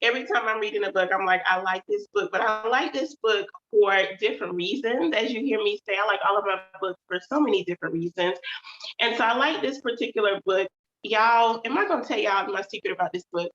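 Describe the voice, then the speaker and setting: A chatty woman with a stuffy nose talks warmly to her listeners, a little nasal at times. Her voice sits at a middle pitch. The sound is roomy and slightly dull. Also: a little fast. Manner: chatty, animated, addresses audience as y'all. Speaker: author and channel host. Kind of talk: casual livestream chat.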